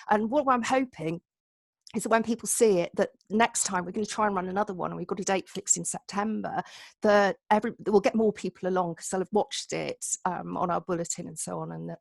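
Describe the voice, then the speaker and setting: A woman's voice: mid-range voice, vocal fry, dry recording. A woman chairing an online gathering on a video call, chatty and encouraging as she gives an informal welcome. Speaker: meeting host, society chair.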